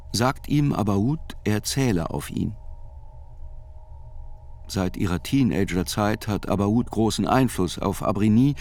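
A male narrator with a fairly low voice reads a literary chronicle. His delivery is measured and deliberate, with articulate phrasing suited to an audio drama. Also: a little mellow.

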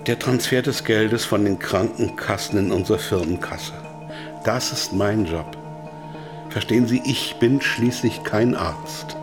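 A lowish-voiced businessman held for questioning, played by a male actor in a radio thriller. He explains in a businesslike way with unease underneath, while music plays behind him. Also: unhurried, natural voice. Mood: smug, factual. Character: captive pharma manager, matter-of-fact, uneasy under questioning. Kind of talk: scripted audio drama dialogue.

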